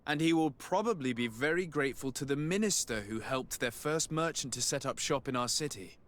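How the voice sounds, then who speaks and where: A man plays a polite young noble diplomat from a video game. His mid-pitched voice is measured and formal, with a resolute courtesy. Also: a bit bright, natural voice. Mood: courteous, composed. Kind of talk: video game cutscene acting.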